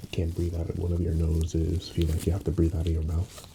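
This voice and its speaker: A soft-spoken man, at times whispering, gently playing a reassuring doctor in an unhurried ASMR medical exam roleplay. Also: sits low, monotone, creaky, background noise.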